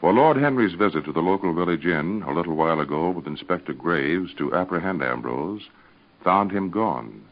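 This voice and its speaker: An American man reading scripted narration and advertising as an old-time radio serial announcer, with emphatic, deliberate enunciation. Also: low voice, expressive. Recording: dull, band-limited vintage radio recording.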